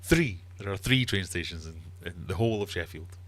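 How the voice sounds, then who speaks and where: A man's voice: low voice, lively intonation, a little fast, fairly bright tone, a little hiss or hum. A middle-aged man with a Glaswegian Scottish accent, chatting casually and ramblingly as he hosts a livestream. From Glasgow, Scotland. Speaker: livestream host and quizmaster. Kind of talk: casual live chat, reading viewer comments.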